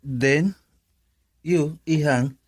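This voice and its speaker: A man's voice: on the high side, drawn-out words, dry recording, clean studio sound. A man acting a middle-aged family friend, a tender and patient suitor in a radio drama, with a Filipino accent. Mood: affectionate.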